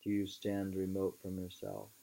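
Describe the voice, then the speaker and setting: A man reading literature aloud in an emphatic, deliberate, musing way, with informal asides. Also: low-pitched, completely flat delivery, creaky, warm tone. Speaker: reader.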